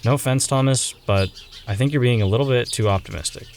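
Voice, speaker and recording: A man's voice: medium pitch, quick pace, slight background noise, natural voice. An actor playing an anxious, guilt-ridden young man in a radio drama, hesitant and worried. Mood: subdued.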